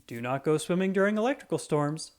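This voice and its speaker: A storybook reader voicing an earnest male police officer who announces safety tips in a deliberate, emphatic way. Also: mid-range voice, expressive, natural voice. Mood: stern warning.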